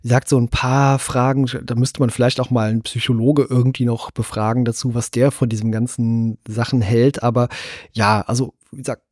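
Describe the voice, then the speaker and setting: Husky-voiced man hosting a film podcast. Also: mid-range voice, little pitch movement, brisk, a little mellow, no echo, studio quality. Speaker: podcast host. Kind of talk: casual film discussion.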